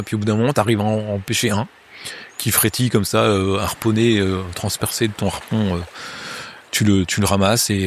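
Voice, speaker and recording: Low-voiced guy gm narrating a horror rpg, lots of ums and restarts, faint noise behind. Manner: hesitant, many filler sounds and restarts; second-person scene narration. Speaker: game master. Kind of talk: narrating a tabletop horror game.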